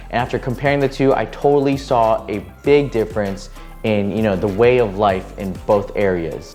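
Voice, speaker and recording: A man's voice: lowish voice, a little mellow. Upbeat young man, chatty vlog host. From Hawaii. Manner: upbeat, conversational, many "you know" fillers. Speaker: real estate agent and video host. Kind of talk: casual talking-to-camera vlog.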